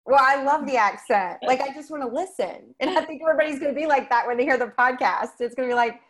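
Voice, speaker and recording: A woman's voice: sits high, fast talker. A woman hosting an interview podcast, upbeat and enthusiastic as she introduces and questions her guest. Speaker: podcast host.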